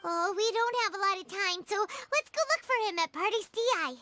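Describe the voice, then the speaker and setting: A woman voicing a tiny, excitable childlike fairy companion girl in a video game, exclamatory and protective. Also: very high-pitched, normal speed, heavy background noise. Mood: puzzled, curious. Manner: exclamatory, refers to self in third person. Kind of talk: video game character dialogue. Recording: loud music behind the voice.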